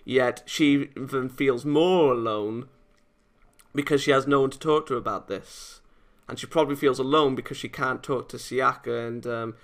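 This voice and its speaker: A man giving off-the-cuff let's play commentary, excitable and stumbling over his words. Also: mid-range voice, moderate pace. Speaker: let's play host reading a visual novel. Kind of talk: off-the-cuff gameplay commentary mixed with read-aloud.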